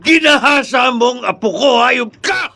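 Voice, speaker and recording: An actor playing an elderly, hot-tempered, protective grandfather with a raspy voice in a Filipino radio drama. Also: extremely high pitch, lively intonation, measured pace, pushed and bright, heavily acted. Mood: enraged. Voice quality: gravelly, raspy. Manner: indignant, emphatic.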